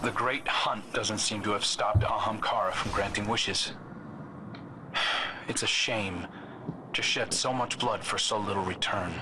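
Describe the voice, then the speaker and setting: Low male voice, acted, earnest troubled scout, wry storyteller, video game dialogue, clear background noise. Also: unhurried, lightly acted. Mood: wry, regretful.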